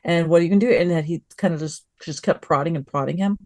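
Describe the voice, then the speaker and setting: A woman's voice: fairly low pitch, fast talker, a little mellow, dry recording. A woman giving her views in a casual review chat, expressively acting out reactions as she talks. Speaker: podcast panelist.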